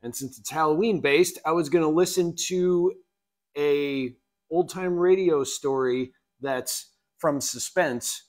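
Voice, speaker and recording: A man's voice: fairly high pitch, slow. A middle-aged man chatting casually as he draws on a live stream, musing and muttering to himself. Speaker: webcomic artist live streaming. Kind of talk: casual chat while drawing. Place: small home room with full bookshelves.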